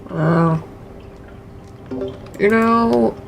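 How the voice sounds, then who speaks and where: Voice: mid-range voice, extremely slow, vocal fry, background noise. A streamer muttering through a game in casual commentary, with the odd curse. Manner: mutters to self, casual swearing.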